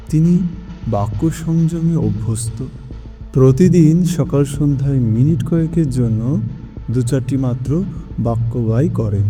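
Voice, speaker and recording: Troubled male client for audio drama, actor: soft dark tone, literary, measured recounting. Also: mid-range voice, natural voice. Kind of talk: audio story acting.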